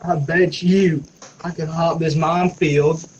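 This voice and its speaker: A man's voice: fairly high pitch, level tone, measured pace, lo-fi sound, slight background noise. A man bantering casually and swearing freely as a player in a tabletop roleplaying game.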